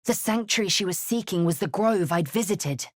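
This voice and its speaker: A woman playing a fierce, haughty githyanki warrior in a video game, with a husky, breathy edge and clipped, imperious delivery. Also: medium pitch, lively intonation, normal speed, no echo, studio quality, lightly acted. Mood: brooding recollection. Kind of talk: video game character acting.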